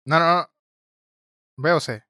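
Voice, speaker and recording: A man's voice: a little high, measured pace, no echo, clean studio sound. A young man with a Mexican accent, co-hosting and editing a casual, joking podcast chat. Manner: relaxed, joking, slangy. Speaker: podcast co-host and editor.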